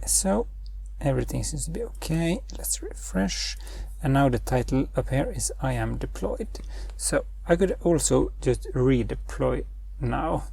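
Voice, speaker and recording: Slow, gravelly man. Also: medium pitch, warm tone. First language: Norwegian. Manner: thinks aloud while giving spoken commands. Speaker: web developer and channel host. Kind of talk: screen-recorded coding tutorial.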